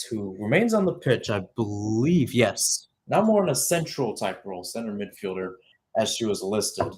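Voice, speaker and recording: A man's voice: medium pitch, slightly rough. A young man giving live radio-style soccer commentary, mixing clipped calls of the play with conversational asides. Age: young adult.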